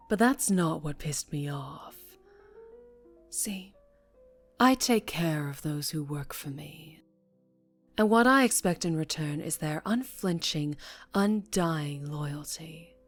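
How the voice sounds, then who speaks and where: Woman acting a slow, low-voiced, menacing female mafia boss. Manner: slow, commanding, condescending, often dropping to breathy murmurs. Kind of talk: ASMR roleplay acting.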